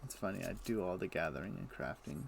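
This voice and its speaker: A man casually commenting while playing a game on a live stream, relaxed and thinking aloud to himself. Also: low-pitched, quick pace, vocal fry, a little hiss or hum. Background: background music.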